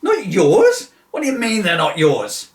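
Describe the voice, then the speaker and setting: A man's voice: sits high, wildly swooping pitch, a little slow, pitched above the performer's own voice, full character voice. Man acting an exasperated policeman in an echoey room. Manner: officious politeness giving way to exasperation. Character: exasperated, sarcastic male police constable taking a report. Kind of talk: comic two-hander read from a book. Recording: distant, echoey room recording.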